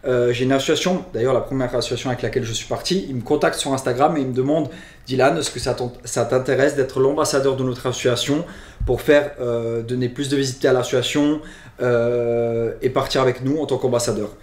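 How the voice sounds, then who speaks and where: A man's voice: mid-range voice, fairly even intonation, fairly quick, a little mellow, echoey room. A man from Luxembourg with a Luxembourgish accent, chatting casually as he answers fan questions and often restarts his phrases. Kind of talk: casual Q&A chat.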